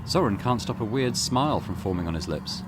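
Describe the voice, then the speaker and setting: A man's voice: lowish voice, expressive, brisk, very noisy. A man hosting a let's play, reading visual novel text aloud expressively with casual comments. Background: loud game music.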